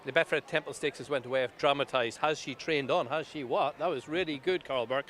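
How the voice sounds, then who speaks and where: A man's voice: mid-range voice, fairly quick, noisy background. A man doing casual post-race interviews outdoors, chatty, with clipped questions. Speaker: racing TV interviewer. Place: outdoors at a racecourse.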